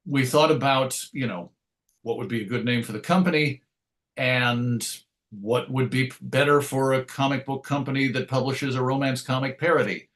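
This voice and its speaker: A man's voice: mid-range voice, fairly bright tone. A middle-aged man answering interview questions in a conversational way, reminiscing with wry, self-deprecating humor. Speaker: interview guest.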